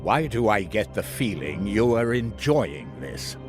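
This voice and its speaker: An actor playing a stern, duty-bound warrior-priest commander in a video game, grave and solemn in manner, with music behind. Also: male voice, on the low side, very animated, a little slow. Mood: grave disapproval. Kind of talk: dramatic video game acting.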